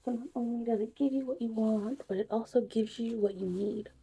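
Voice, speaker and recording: Female ASMR host, very mellow and airy, hushed casual chat slipping into whispers, slightly high pitch. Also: steady tone, a little fast, rough recording. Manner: hushed, breathy, drifting in and out of whispers. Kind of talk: soft-spoken, whispered ASMR tutorial roleplay.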